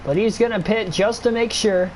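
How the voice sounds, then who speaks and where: A man's voice: sits high, normal speed, a little hiss or hum, low-quality recording. A young man with a stuffy nose and a tiring voice, calling a video game race play-by-play and chatting with his stream viewers. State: stuffy nose, voice getting worn. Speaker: streamer doing race commentary. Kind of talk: play-by-play sim racing commentary.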